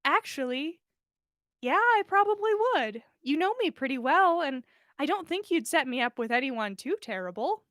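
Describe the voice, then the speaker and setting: A shy, mild young man from a storybook, acted out by a woman, hesitant and wary in his answers. The voice is high and moves up and down a lot, recorded dry and close. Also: very animated, moderate pace, fairly bright tone, no echo. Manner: hesitant, stammering.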